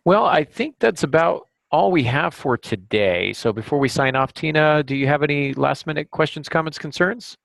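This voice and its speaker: A man hosting a casual podcast discussion about a craft, pausing often as he picks his words. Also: a little high, expressive, creaky, a bit bright, dry recording. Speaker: podcast host and novelist.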